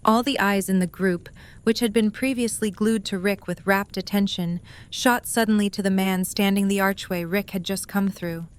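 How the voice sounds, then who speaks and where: A woman's voice: mid-range voice, fairly even intonation, normal speed. A woman reading scripted story narration as the narrator of an audio drama.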